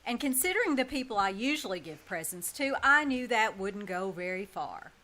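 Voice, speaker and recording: A woman's voice: medium pitch, very animated, normal speed, pushed and bright. A woman playing a wry, long-suffering schoolteacher in a live radio comedy, with dry, sardonic delivery. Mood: wry narration. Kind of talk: live old-time radio comedy acting. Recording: live stage performance with background noise.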